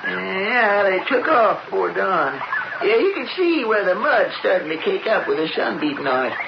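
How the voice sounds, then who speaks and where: A man's voice: high voice, big pitch swings, moderate pace, creaky, heavily acted. A man playing a folksy Old West deputy and tracker with a rural American accent in a radio drama. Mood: folksy, wary. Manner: folksy drawl, colloquial. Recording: muffled radio broadcast, loud noise.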